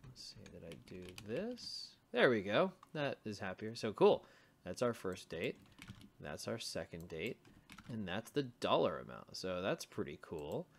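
A man's voice: on the low side, sing-song, measured pace, vocal fry. A man giving a casual screencast coding walkthrough as a tutorial host, thinking aloud as he types. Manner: thinking aloud while typing. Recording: typing and mouse clicks, faint noise. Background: computer keyboard typing and clicks.

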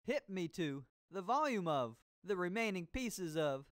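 起伏の激しいディスコ料理番組の司会者. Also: male voice, high-pitched, big pitch swings, unhurried, no echo, studio quality. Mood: showy, upbeat. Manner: slangy, theatrical disco jive. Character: groovy, flamboyant male disco cooking show host. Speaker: game host. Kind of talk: cartoon educational game character voice. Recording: voice over music.

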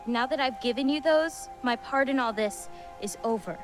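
A woman's voice: sits high, moderate pace, over music, close to an everyday voice. A woman playing a guarded, independent young giantess, wry and burdened, in a naturalistic video game cutscene. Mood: bitter, hurt.

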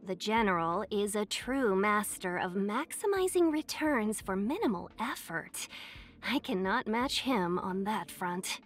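A woman's voice: mid-range voice, lively intonation, unhurried, natural voice. A woman voicing a young fox-eared merchant in a video game, silver-tongued, polite and playfully coy. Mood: chatty, courteous. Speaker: game character voice. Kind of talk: scripted video game character voice lines.

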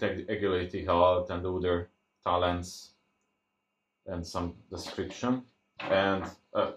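A man giving casual, unscripted unboxing commentary, thinking aloud in a halting way as he presents. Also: low-pitched, slight background noise. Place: indoors at a tabletop. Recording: roomy, echoey sound.

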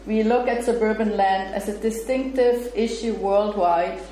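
A middle-aged woman with German as her first language speaks slowly and with care as she gives a lecture. She is picked up by a room mic in a bright lecture hall, so her voice comes back from the walls. A faint hiss sits under her words. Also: medium pitch. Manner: laughs easily and chats with the audience between read passages. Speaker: guest lecturer. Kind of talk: academic lecture, partly read from paper. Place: bright lecture room with projector screen, indoors. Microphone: far camera, room mic. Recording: echoey room with faint noise.

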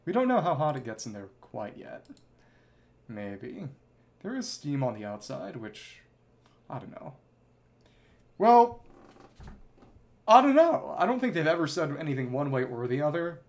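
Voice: medium pitch, very animated, a little slow, long pauses. A game streamer chatting casually with viewers and reading game dialogue in clipped, musing fragments. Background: game music.

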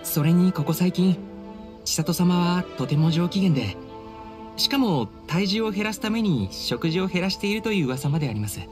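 A young samurai officer, dutiful and humble, speaks in formal, deferential phrases. The anime game delivery is lively in pitch, over background music. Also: male voice, moderate pace. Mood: confiding. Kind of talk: Japanese anime game voice acting.

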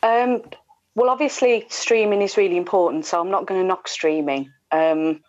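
A woman's voice: medium pitch, normal speed, darkish tone, no room sound. A middle-aged woman, a radio presenter, chatting casually as a guest on a video call. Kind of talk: casual interview chat. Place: indoors at home. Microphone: earphones on a video call. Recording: slightly dull video-call audio.

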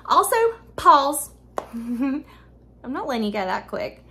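A woman's voice: a little high, expressive, slow, fairly bright tone, a little hiss or hum. A woman in her thirties chatting to viewers as she bakes desserts in her home kitchen, upbeat and talkative. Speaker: baking vlogger. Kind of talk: casual baking tutorial.

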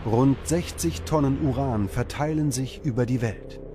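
A man's voice: medium pitch, a little slow. Male documentary narrator over music. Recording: music behind the voice. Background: background music.

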